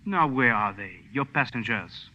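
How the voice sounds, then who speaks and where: An actor playing a suave, menacing police official, formal and sardonic, in a 1940s radio drama. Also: male voice, medium pitch, expressive, moderate pace, natural voice. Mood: smoothly menacing. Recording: dull, reverberant old radio recording.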